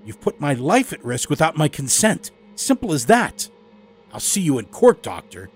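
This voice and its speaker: A man's voice: a little high, huge pitch swings, normal speed, breathy edge, faint music behind, pitched above the performer's own voice, full character voice. A bitter middle-aged male lawyer played by a storyteller speaks with wounded, accusing force. He is emotional and combative in the manner of a horror audiobook, over a musical score. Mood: angry.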